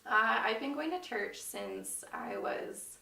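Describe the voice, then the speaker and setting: A woman's voice: on the high side, animated, slow pace, creaky, pushed and bright, reverberant, far from the mic. A woman in her twenties answering interview questions thoughtfully, with many fillers. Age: 20s. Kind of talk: church video interview.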